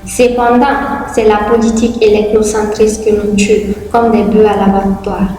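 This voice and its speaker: A young woman reciting a patriotic spoken-word poem in a solemn, declamatory way, in short deliberate phrases. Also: medium pitch, flat delivery, dark tone. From Guinea. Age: young adult. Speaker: poet. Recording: very echoey, long hall reverb.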